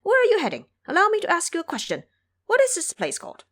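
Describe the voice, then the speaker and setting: A woman voicing a cheeky, confident male monkey disciple in a brisk, playful way for an audio drama. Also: very high-pitched, huge pitch swings, voice pushed up, exaggerated voice. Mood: insistent.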